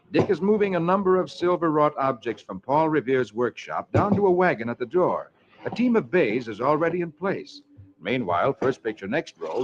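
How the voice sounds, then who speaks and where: A man reading comics to children on 1940s radio in a theatrical, dramatic scripted style. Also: a little high. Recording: dull, noisy vintage radio recording.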